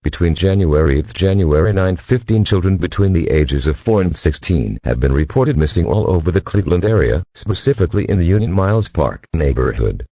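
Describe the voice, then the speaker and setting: Male emergency announcer, deep, dark tone, dry sound, formal, mechanical delivery. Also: lively intonation, brisk, few pauses, dry recording. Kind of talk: formal scripted emergency alert readout.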